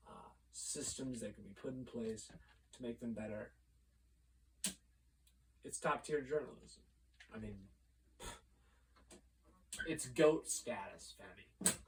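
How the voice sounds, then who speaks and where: A man's voice: mid-range voice, poor audio. An American man from the United States hosting a playful mock press conference in a rambling, mock-formal way. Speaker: vlogger. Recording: loud background noise.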